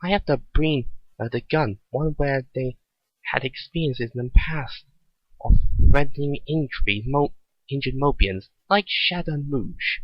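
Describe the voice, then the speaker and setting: A man voicing a kind, curious young woman mechanic in a story reading, earnest and halting. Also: mid-range voice, no echo, natural voice. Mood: worried. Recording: dull lo-fi recording.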